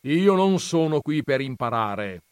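Male reader as elderly patriarch, booming and commanding, dry close recording. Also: very animated, unhurried, smooth, no room sound. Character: stern old Armenian grandfather, patriarch.

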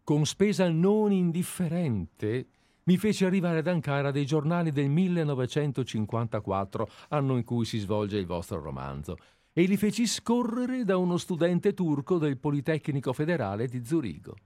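A man's voice: on the high side, big pitch swings, moderate pace, mellow, no echo. A man reading the part of a fawning, meticulous male amateur investigator in a radio play, talking with effusive enthusiasm. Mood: enthusiastic.

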